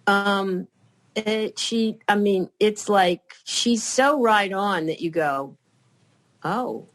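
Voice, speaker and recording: An older woman chatting as a podcast guest, rambling and halting, with many fillers and restarts. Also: mid-range voice. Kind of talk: casual chat.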